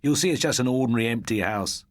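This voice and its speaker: A man's voice: mid-range voice, expressive, fast, dry recording, clean studio sound, pitched above the performer's own voice, natural voice. A man voicing a tall, cheerful, sceptical schoolboy with a brash, mocking way of talking, in an audiobook. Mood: challenging.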